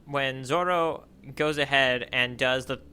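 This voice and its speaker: A man co-hosting a casual manga readalong podcast, feeling his way through his points in halting phrases. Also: medium pitch, pushed and bright, clear, resonant tone. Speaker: podcast co-host.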